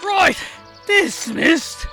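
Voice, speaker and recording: A man voicing a brash army sergeant in a comic fantasy story, barking out clipped, shouted words. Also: extremely high pitch, animated, extremely slow, creaky, faint music behind, voice pushed up, full character voice. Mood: brusque, loud. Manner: clipped shouted roll-call delivery.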